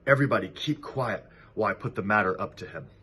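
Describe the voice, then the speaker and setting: A man reads as an old sheep, elder of the barnyard. He talks in a husky voice, blunt and firm, persuading in storybook style. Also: lowish voice, quick pace, bright, forceful tone. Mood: confident. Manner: firm, reasoning.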